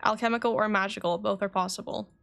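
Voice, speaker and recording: A woman's voice: mid-range voice, steady tone, quick pace, creaky, a bit bright, soft background music. An actress playing a terse, detached woman giving forensic testimony in a game, clipped and matter-of-fact. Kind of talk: video game voice acting.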